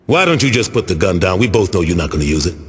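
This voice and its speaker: Low, animated, exasperated ex-gang boss. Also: male voice, fast, slightly rough, exaggerated voice. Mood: defiant. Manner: streetwise, exasperated, argumentative. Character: aging male former gang leader, weary, indignant, preachy. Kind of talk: video game cutscene acting.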